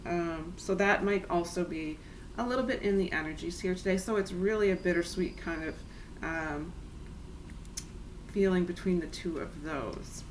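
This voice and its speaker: Woman, tarot reader, hoarse and raspy today; easygoing, gentle delivery over audible background noise. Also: lowish voice, normal speed, low-quality recording. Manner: soothing, chatty, addresses viewers as guys. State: raspy, clearing throat. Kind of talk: casual spiritual reading.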